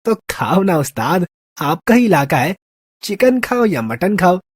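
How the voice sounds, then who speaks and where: Man acting a husky, fawning thug sidekick. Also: high-pitched, very animated, a little slow, heavily acted. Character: drunk fawning petty-thug sidekick, wary and deferential. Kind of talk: Hindi audio story character acting.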